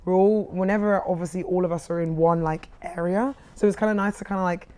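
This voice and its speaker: A young woman co-hosting a casual podcast chat, a little croaky, bubbly and laughing often. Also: on the low side, fairly even intonation, quick pace, warm tone. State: croaky voice after a big event. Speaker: podcast co-host.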